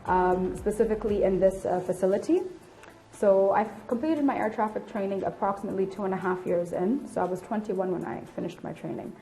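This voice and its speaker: A woman's voice: medium pitch, brisk, dark tone, faint music behind, rough recording. A woman in her late twenties giving candid, articulate explanations in an interview. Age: late 20s. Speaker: air traffic control officer and instructor being interviewed.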